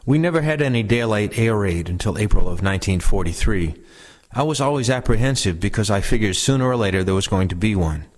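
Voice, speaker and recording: A man with a moderately low voice reads recollections aloud. The passages are first-person oral history, delivered as scripted narration. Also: expressive, a little fast. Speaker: reader of veterans' recollections.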